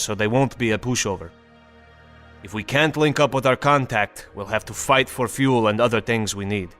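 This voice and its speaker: A steady, resolute military commander played by a male actor briefs his squad in a game cutscene. He is matter-of-fact and commanding, with a medium-pitched voice and music playing behind him. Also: close to an everyday voice. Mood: businesslike. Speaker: squad leader giving mission briefings.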